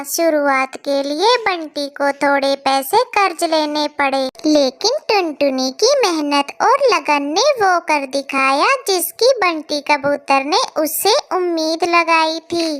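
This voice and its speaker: A woman's voice: high voice, fairly bright tone. A woman narrating a scripted children's story.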